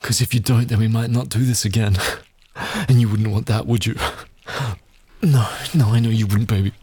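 A man with a husky, breathy voice that sits low in his register. He speaks in a dominant, taunting tone, close and intimate, breaking up his lines with heavy breaths and muttered exclamations. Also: fairly even intonation, normal speed, mellow. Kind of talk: scripted adult audio roleplay.

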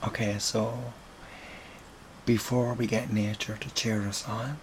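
A slightly hoarse man with an Irish accent, whispering softly with gentle chuckles in an ASMR boyfriend roleplay. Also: fairly low pitch, little pitch movement, slow, slight background noise.

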